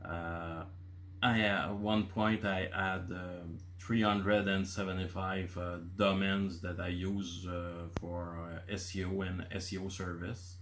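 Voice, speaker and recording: A man's voice: deep voice, flat delivery, slow pace, a bit bright, slight background noise. A man in his fifties from Quebec City, a native French speaker with a Quebecois accent, hosting his channel and talking casually from bullet points with many filler uhs. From Quebec City, Canada. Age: 50s. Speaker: channel host.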